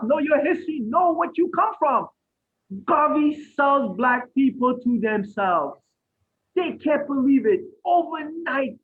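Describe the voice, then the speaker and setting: Impassioned Haitian-born man, husky, lecturing. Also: very high voice. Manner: preacherly, rising to near shouts, rhetorical questions. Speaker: guest speaker. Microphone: video call. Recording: phone-like line from an online event.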